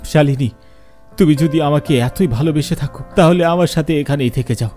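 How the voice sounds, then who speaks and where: A desperate young man with a raised, strained voice, as a male narrator puts him on, his tone dark and mellow. He sounds pleading and protective in a cartoon horror tale, with faint music under him. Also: a little high, fairly quick, pitched above the performer's own voice, natural voice. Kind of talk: animated horror story voice acting.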